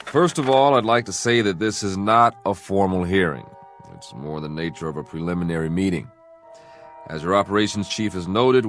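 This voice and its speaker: A middle-aged man playing a methodical, confident aviation investigator in a television drama, with a measured official manner. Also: low voice, lightly acted. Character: self-assured, methodical male federal aviation investigator.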